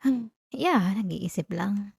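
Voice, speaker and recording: A woman acts out an affectionate young girlfriend in an ASMR roleplay. Her voice is soft and mellow, with a playful, coaxing manner. It is recorded very dry and intimate. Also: medium pitch, lively intonation, slow, vocal fry, breathy edge, dry recording, full character voice. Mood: gentle, earnest. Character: affectionate, teasing young live-in girlfriend comforting tired partner.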